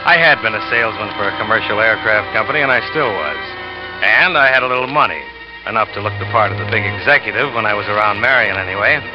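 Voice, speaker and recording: An actor playing a smooth-talking, scheming salesman who narrates his own story in 1940s radio drama style, boastful and quietly cold. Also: lowish voice, expressive, fast, close to an everyday voice. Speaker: narrator and lead character. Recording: dull old radio recording with music.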